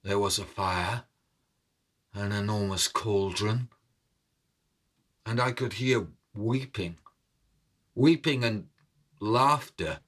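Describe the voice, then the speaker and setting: A man telling a tale in a deliberate, suspenseful, theatrical storytelling style. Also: on the low side, fairly bright tone. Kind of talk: dramatic oral storytelling, scripted narration. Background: musical instrument.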